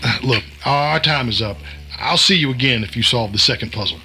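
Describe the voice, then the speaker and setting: A man's voice: mid-range voice, fairly quick, sharp tone, soft background music, rough recording. A man playing a folksy, wisecracking secret agent posing as a night cook, a glib and sardonic explainer, in an audio drama. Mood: probing.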